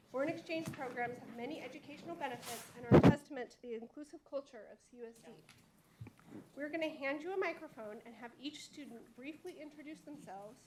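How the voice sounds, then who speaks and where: A high-pitched woman speaks in a measured, formal tone, delivering prepared remarks to a school board. The boardroom recording carries a clear layer of background noise. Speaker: district staff presenter. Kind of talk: formal public meeting address.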